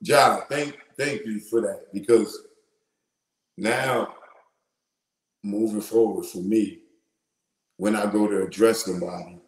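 A middle-aged man with a big, lowish voice talks loosely and animatedly, full of slang, as he hosts his live commentary show. He speaks into an earphone mic, and the small room around him rings with echo. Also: fairly even intonation, slow, pauses often. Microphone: wired earphone mic. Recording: echoey room.

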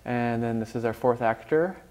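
A middle-aged man with a medium-pitched voice. He hosts a studio interview, putting questions to his guests in a casual, conversational style. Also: moderate pace, darkish tone, slight background noise. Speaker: talk show host. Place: small TV studio, seated at a table.

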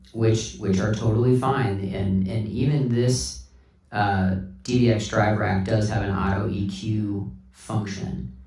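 Low, gravelly man, roomy distant sound. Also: a little mellow. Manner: unhurried, talks through each step while working. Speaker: instructor, sound engineer. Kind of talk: casual hands-on tutorial talk. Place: indoor room with sound system.

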